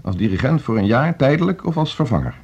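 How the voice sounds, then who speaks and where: An actor playing an ambitious, stubborn orchestra conductor in a naturalistic radio drama, brusque, self-assured and dismissive. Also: male voice, mid-range voice, sing-song, quick pace, a little mellow. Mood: insistent. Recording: dull, muffled radio play recording.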